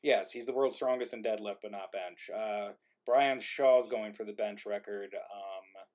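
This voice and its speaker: A man hosting an online live stream in casual chat, thinking aloud in a halting way as he goes. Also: fairly low pitch, darkish tone. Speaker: host of an online live-streamed play reading. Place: remote group video stream.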